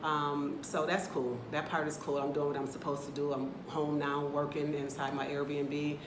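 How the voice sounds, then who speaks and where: A woman's voice: deep voice, flat delivery, normal speed, roomy sound, background noise. A woman from the Atlanta area with an American accent, chatting candidly in a casual travel vlog from her rental apartment. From United States, Atlanta area. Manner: chatty, candid, talks in loose run-on asides. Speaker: travel vlogger.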